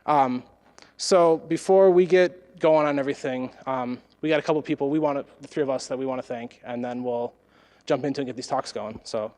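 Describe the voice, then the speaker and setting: A young man who is a student organizer gives casual thanks to the audience. He hesitates often and fills the gaps with "um". His voice sits in an ordinary middle pitch. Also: darkish tone. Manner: casual, halting, many fillers. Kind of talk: thank-you speech.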